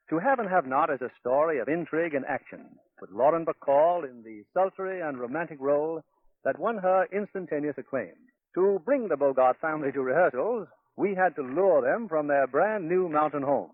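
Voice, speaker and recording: A man's voice: on the high side, phone-quality sound. A man hosting and narrating a 1940s radio drama in formal, polished scripted phrasing. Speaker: radio producer and host. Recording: husky, thin 1940s radio recording.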